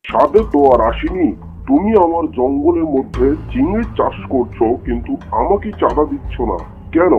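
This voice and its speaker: A man voicing a domineering tiger landlord in a Bengali cartoon, gravelly and blustering. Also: on the high side, lively intonation, moderate pace, close to an everyday voice. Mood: haughty. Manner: blustering, swooping, bossy. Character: bullying, domineering male tiger landlord of the jungle. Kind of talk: Bengali cartoon character dialogue. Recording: dull lo-fi audio with music behind.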